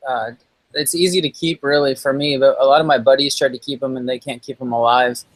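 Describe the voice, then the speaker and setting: A man's voice: mid-range voice, steady tone, a little fast, no echo, rough recording. Hesitant man chatting as a stream guest. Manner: hesitant, restarts sentences. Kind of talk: casual conversation.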